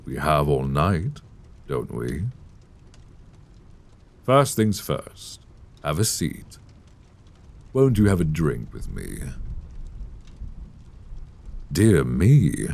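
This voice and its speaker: Sadistic gentleman vampire for roleplay audio: bottomless creaky bass, slow, savoring delivery, silky and menacing, close binaural mic. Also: big pitch swings, full character voice. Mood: playful, insistent. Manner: courtly, teasing, menacing, savoring his words. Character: suave, sadistic male vampire lord courting his captive enemy. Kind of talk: intimate roleplay audio acting. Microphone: close binaural microphone. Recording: close binaural mic, clear background noise.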